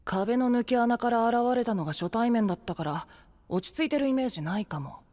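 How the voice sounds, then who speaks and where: A man's voice: very high voice, lively intonation, brisk, a little mellow, in a large hall. An actor playing a cheeky, aloof young boy with a dry, teasing manner in an anime-style voice drama.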